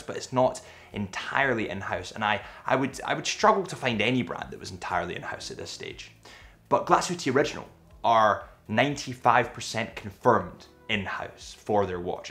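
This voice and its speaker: Young Scottish man presenting with enthusiasm. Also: lowish voice. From Scotland. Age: young adult. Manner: animated, gestures with his hands. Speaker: watch retailer presenter. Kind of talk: enthusiastic watch vlog narration. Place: small bright indoor studio set.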